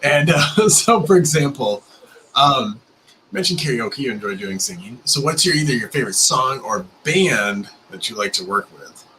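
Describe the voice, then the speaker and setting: Animated, bright-voiced male host. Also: medium pitch, slight background noise, poor audio. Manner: playful, joking, quick to laugh. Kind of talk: casual video call interview. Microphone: video call mic.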